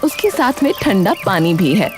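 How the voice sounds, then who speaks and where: A woman's voice: medium pitch, sing-song, normal speed, music in the background. A woman narrating a scripted cartoon story.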